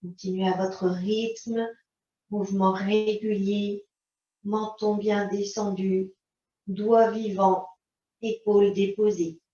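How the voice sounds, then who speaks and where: A woman teaching yoga, giving calm, unhurried guidance in short phrases. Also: medium pitch. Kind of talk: guided yoga and relaxation instruction. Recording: roomy, distant mic, dull sound.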